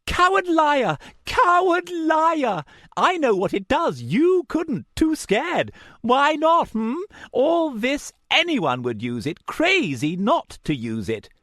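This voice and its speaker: A shrill, unhinged man snarls and screeches in clipped fragments. His voice leaps far above a normal register and blasts loud. The dry, close sound keeps every screech in your ear. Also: wildly swooping pitch, takes it slowly, dry recording, heavily acted. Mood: taunting. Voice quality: shrill, ranting in broken phrases. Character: crazed man clutching a cursed charm, unhinged.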